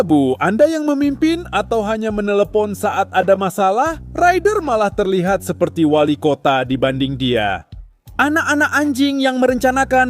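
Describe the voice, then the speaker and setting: Pria bernada tinggi yang sarkastis dan ekspresif. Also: male voice, high-pitched. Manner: sarcastic and exasperated, mocking tone. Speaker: commentary narrator. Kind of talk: comedic commentary voice-over.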